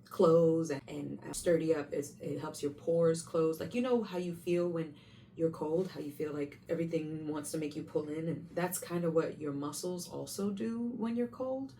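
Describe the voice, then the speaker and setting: A woman's voice: sits low, moderate pace, mellow. A young woman, a stage performer, chatting in a rambling, self-correcting way as she shares her routine in a casual vlog. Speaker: Broadway performer sharing tips. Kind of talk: casual vlog talk to camera. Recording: faint background hum, mic at a distance.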